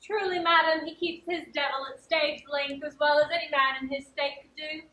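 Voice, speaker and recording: A woman's voice: high voice, fairly even intonation, fairly quick, pushed and bright. A woman playing a cheeky, mock-solemn jester serving a noblewoman in a theatrical stage comedy. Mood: playful, wry. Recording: roomy, echoing stage sound.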